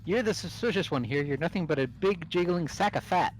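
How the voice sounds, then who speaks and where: A man's voice: a little high, lively intonation, fairly quick, soft background music, close to an everyday voice, poor audio. A man voicing an irritated, self-righteous male student who scolds and accuses in an amateur game dub. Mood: indignant. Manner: loud, accusatory, scolding with childish swearing substitutes. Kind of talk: amateur fully voiced game playthrough.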